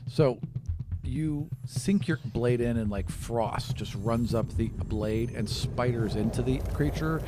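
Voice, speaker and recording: A man's voice: medium pitch, takes it slowly. Man narrating a tabletop game over noise. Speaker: game master.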